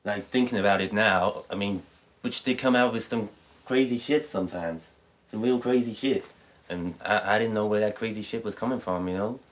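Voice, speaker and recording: A man playing an uneasy, rambling character who reminisces haltingly, repeating himself and swearing, in naturalistic dialogue. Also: on the low side, natural voice. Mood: uneasy, musing. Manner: hesitant, rambling, repetitive, profane.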